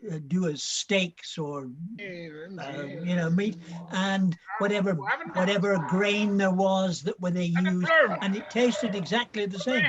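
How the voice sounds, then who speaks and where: A man's voice: high-pitched, muffled recording. An older man with a Scottish accent, chatting and telling stories with a ready laugh. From Scotland. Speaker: reading group member. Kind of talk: casual chat.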